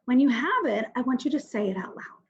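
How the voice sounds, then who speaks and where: Kindergarten teacher lady doing a reading lesson for little kids, super up and down voice, slow, kinda muffled lo-fi audio. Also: high voice, big pitch swings. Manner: simple, instructive, addresses children directly with questions and pauses to think aloud. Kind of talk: recorded reading mini-lesson for young children.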